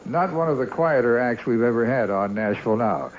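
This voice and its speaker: Male variety host, mid-pitched act introduction, dull archive audio, faint noise. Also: animated, fairly quick. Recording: muffled, faintly noisy archive TV recording.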